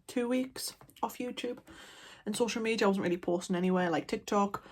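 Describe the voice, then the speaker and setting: Breathy woman chatting casually. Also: mid-range voice, brisk. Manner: chatty, rambling, relaxed. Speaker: art vlogger. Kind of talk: casual vlog chat. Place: at home indoors. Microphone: camera mic.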